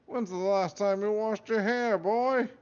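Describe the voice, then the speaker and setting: A man voicing a rude male magical talking hat, high and loud in a rough stab at a Scottish voice. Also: lively intonation, measured pace. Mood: mocking. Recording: dull lo-fi recording.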